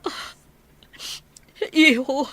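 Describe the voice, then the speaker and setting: A woman's voice: very slow, pushed and bright, no room sound. A woman playing an anxious, loving mother of a soldier in a radio drama, emotional and exclamatory. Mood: emotional, hopeful.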